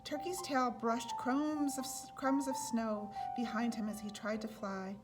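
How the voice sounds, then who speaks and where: A woman's voice: a little high, fairly even intonation, unhurried, over loud music. A woman reading a children's picture book aloud as a storyteller.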